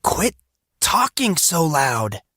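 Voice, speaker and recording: An anguished fourteen-year-old boy played by a man in a fantasy audiobook, his pitch lifted well up. He is desperate and tormented, in a dry recording. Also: sing-song, very slow, pushed and bright, slightly rough, no room sound, full character voice. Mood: agonized. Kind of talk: audiobook character acting.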